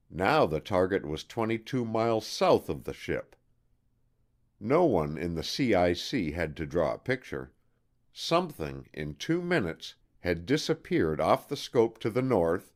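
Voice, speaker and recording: A man reading non-fiction audiobook narration in a measured, deliberate way with emphatic stresses. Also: low-pitched.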